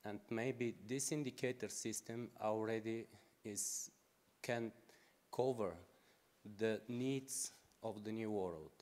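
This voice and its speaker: A man in his forties with a Bulgarian accent, asking a halting, unscripted question at a conference lectern microphone. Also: on the low side, a little mellow. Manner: halting, non-native phrasing. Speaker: audience questioner.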